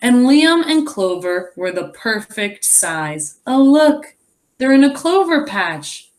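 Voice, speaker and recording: Very animated, unhurried woman reading aloud. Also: on the high side. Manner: expressive, explains words and wonders aloud. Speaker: teacher reading aloud to pupils. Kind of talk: animated children's read-aloud with asides.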